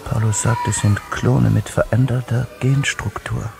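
An actor playing a skeptical investigator who questions the scientists in a sardonic, probing way. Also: male voice, mid-range voice, fairly even intonation, measured pace, gravelly. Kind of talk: radio play acting.

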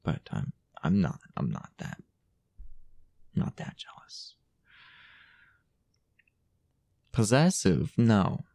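A man playing a possessive, teasing young boyfriend in an improvised intimate roleplay audio, coaxing in a husky, breathy, hesitant murmur. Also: on the low side, darkish tone, heavily acted. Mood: feigned innocence. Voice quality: husky, gravelly, often breathy or whispered. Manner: hesitant, coy, coaxing murmur with drawn-out pauses.

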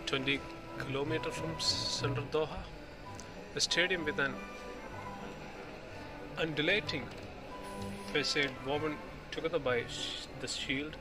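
A man filming in an indoor exhibition hall, reading the display panels aloud slowly, pausing between phrases and restarting when he slips. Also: a little high, steady tone. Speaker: video host touring an exhibition. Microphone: handheld phone camera. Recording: loud music in the hall. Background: music playing.

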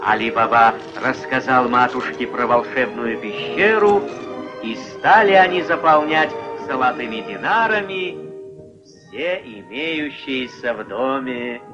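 Male narrator, fairy-tale storytelling, lively swooping intonation, theatrical, dull old film soundtrack. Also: mid-range voice, unhurried. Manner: expressive, theatrical. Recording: dull vintage film soundtrack, music behind.

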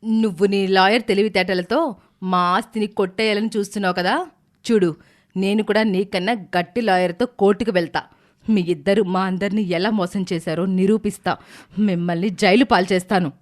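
A woman's voice: medium pitch, steady tone, normal speed, natural voice. A woman playing an angry, accusing young niece in a family drama story, confrontational and sarcastic. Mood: angry, threatening.

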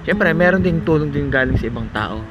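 A man's voice: fairly high pitch, expressive, brisk, loud music behind the voice. A young Filipino man vlogging outdoors at night, talking in an earnest, reflective way with plenty of fillers, close to a handheld camera. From Philippines. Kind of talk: casual chat. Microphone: camera held close in selfie framing.

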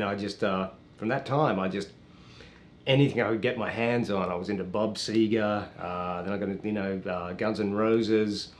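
A man's voice: fairly low pitch, slight background noise. An Australian man in his thirties, a guest musician, chatting in a studio interview with a slight American Southern drawl, friendly and full of "you know". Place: small TV studio with green screen.

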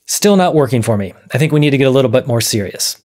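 A man in his thirties presenting an explanatory video lesson in a measured, methodical way. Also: mid-range voice, expressive, a little mellow. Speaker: presenter of an audio science series. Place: small dim room, indoors.

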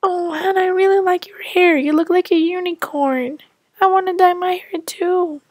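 A woman's voice: very high voice, fairly even intonation, moderate pace, no echo, studio quality, pitched above the performer's own voice. A woman voicing a young child sibling who teases, then makes up. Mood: excited. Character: child sibling, teasing but sorry.

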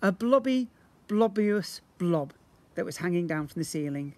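A man in his fifties reading a story to young children in an expressive way, playing up the sound words, recorded outdoors at arm's length. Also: high voice, moderate pace. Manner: expressive, acting out sound effects. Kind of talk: animated read-aloud storytelling for young children. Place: outdoors, beside brick houses. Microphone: phone or camera at about arm's length.

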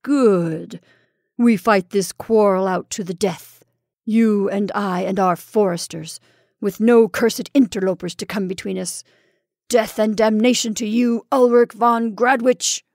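A woman's voice: mid-range voice, measured pace, a little mellow, dry recording, studio quality, lightly acted. A woman reading aloud, voicing a bitter, taunting man pinned under a fallen tree, with a snarling manner. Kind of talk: storybook reading.

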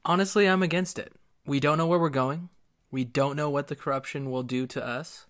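Flat-toned, cautious adventurer played by a man. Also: fairly high pitch, fairly quick, lightly acted. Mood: wary. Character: cautious, reluctant but agreeable adventurer.

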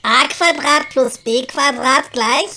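A woman acts a feisty little elf in a comedy radio play. She is high-pitched, blunt and grumbly, with clear noise behind the voice. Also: takes it slowly, pushed and bright, heavily acted. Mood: determined. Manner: blunt, colloquial, exclamatory. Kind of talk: comedy audio drama. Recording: clearly noisy background.

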